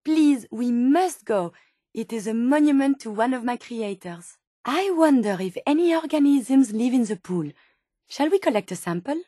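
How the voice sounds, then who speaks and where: A woman's voice: on the high side, lively intonation, moderate pace, warm tone, no room sound, studio quality. A woman voicing a polite, earnest female robot scientist companion in a video game, with a French accent and precise, formal, inquisitive speech. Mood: curious.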